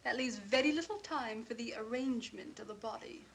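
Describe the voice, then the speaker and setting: An actress playing a composed, businesslike woman in an old 1940s film, with a measured, matter-of-fact manner. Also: on the high side, slight background noise, close to an everyday voice.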